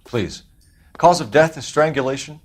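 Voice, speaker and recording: A man's voice: mid-range voice, moderate pace, breathy edge, natural voice. A man playing an earnest, authoritative police detective in a game, clipped and formal, with an American accent. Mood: brisk, formal.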